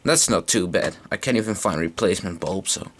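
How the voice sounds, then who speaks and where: A man's voice: deep voice, brisk. A man casually talking through an unscripted hands-on gear demonstration, a little hesitant as he goes. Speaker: hobbyist demonstrating vintage audio gear. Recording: noisy amateur camera sound with clicks.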